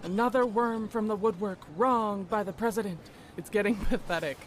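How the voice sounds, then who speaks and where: An actor playing a jaded, sardonic aide in a game cutscene, speaking dismissively. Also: male voice, sits high, normal speed, close to an everyday voice. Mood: scornful. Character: jaded, scornful aide at briefings. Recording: faint background noise.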